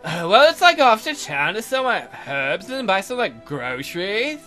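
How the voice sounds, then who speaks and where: A man's voice: high-pitched, very animated, moderate pace, bright, forceful tone, soft background music, full character voice. A man voicing an annoyed, airheaded young fairy-tale maiden in a visual novel, with a hesitant valley-girl drawl full of filler words. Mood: airy, idle.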